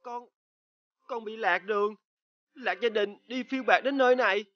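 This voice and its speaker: A performer voicing a polite, eager young runaway boy who pleads earnestly in an animated fairy tale. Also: medium pitch, moderate pace, no room sound, lo-fi sound, close to an everyday voice. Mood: pleading.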